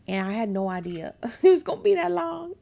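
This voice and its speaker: A hoarse woman playing a story game, reacting excitably, laughing often and talking straight to her viewers. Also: a little high, normal speed. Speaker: game streamer. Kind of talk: let's play commentary.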